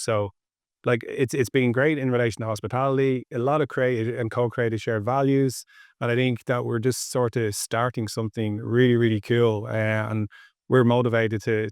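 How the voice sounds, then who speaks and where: A man's voice: mid-range voice, a little mellow, no room sound, clean studio sound. An Irish man in his forties with an Irish accent, talking conversationally as a panel guest. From Ireland. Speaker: panel guest, tech company representative. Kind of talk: conversational interview answer. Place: indoor studio, seated on a sofa. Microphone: clip-on lapel.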